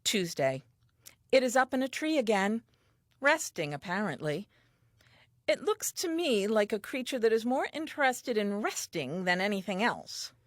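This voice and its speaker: Woman playing chatty earnest first woman reading her diary, comic audio play, really lively pitch, close dry recording. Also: moderate pace, dry recording, natural voice. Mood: curious, delighted.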